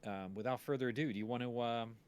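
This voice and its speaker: A man's voice: mid-range voice, quick pace, clean tone, dry recording. A man hosting a stream in casual chat, talking in a rambling, jokey way. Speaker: stream host.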